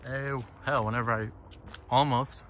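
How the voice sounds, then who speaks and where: A man thinking aloud in a halting, casual, reflective monologue while walking outside on a trail. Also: medium pitch, fairly even intonation, a bit bright. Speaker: solo vlogger. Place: outdoors, walking on a trail by a lake. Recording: outdoor ambient noise.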